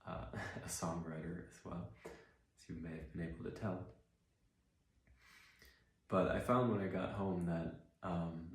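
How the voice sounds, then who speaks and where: Songwriter, low voice, chatty and reflective between songs, heavy room echo. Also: male voice. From Iceland. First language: Icelandic. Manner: reflective, rambling storytelling with many fillers. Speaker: musician and songwriter. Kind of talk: casual livestream chat between songs. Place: living room. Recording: very echoey room.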